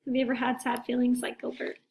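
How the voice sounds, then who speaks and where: A woman's voice: a little high, completely flat delivery, fast talker, vocal fry, darkish tone, a little hiss or hum. A woman teacher reading a picture book aloud to children, expressively and talking directly to young listeners.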